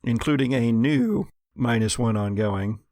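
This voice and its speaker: A man's voice: medium pitch, a little slow, darkish tone, no room sound. Guy game mastering a tabletop rpg, dramatic narration plus casual rules talk, dry close audio.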